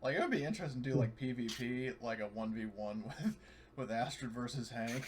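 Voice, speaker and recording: A man's voice: mid-range voice, animated, a little fast, slight background noise. A man chatting casually and a bit sweary as a tabletop role-playing player after a game. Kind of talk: casual post-game chat.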